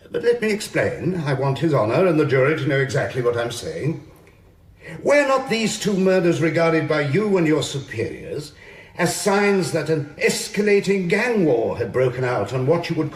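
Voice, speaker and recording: An actor playing a supercilious defence barrister, formally and precisely pressing a witness in a courtroom radio drama. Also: male voice, a little high, sing-song, measured pace. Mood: probing, smooth. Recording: echoey courtroom acoustic.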